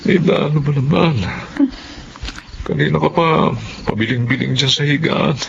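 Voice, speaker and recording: A man's voice: a little high, moderate pace, vocal fry, slight background noise. A middle-aged man playing a stubborn, devoted farmer father in an earnest radio drama style. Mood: concerned. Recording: muffled audio over music.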